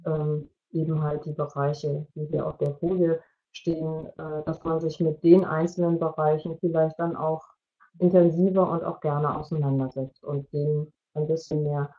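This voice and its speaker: A middle-aged woman giving an explanatory online presentation in a halting way, searching for words. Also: deep voice, little pitch movement. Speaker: media educator presenting at an online parents' evening. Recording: dull, lo-fi video-call audio.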